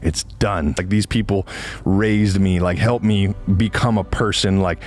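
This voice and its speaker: A man in his late twenties giving a casual, confessional monologue, rambling and often halting and interrupting himself. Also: sits low, steady tone, faint music behind. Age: late 20s.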